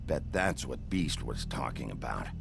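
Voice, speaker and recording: A gruff, sardonic sheriff from a dark fairytale video game. His voice is very deep and crackles with gravel. He is terse and menacing but swings in pitch when he pushes, with music underneath. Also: big pitch swings, normal speed, exaggerated voice. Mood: wry, suspicious. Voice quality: low gravelly voice. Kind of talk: hard-boiled video game acting. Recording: music behind the voice.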